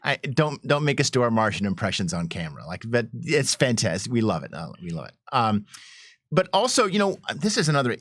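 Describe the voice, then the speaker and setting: A man with a lively, swooping delivery talks as a game designer in a panel discussion. He explains ideas like an enthusiastic professor, and the sound is close and dry. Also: medium pitch, fairly quick, no echo.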